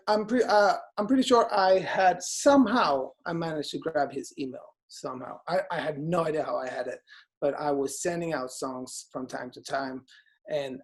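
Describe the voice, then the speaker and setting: A man's voice: a little high, vocal fry. A man in his thirties from Sweden with a Swedish accent, chatting casually as a guest and talking through lots of fillers and restarts. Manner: relaxed, many fillers and restarts. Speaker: guest DJ and producer. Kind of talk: casual interview chat.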